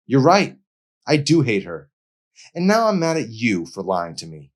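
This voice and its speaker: A man's voice: medium pitch, huge pitch swings, a little slow, pitched above the performer's own voice. A man voicing an angry, hurt young woman in an audiobook, demanding and confrontational. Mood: bitter, accusing. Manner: indignant, confrontational.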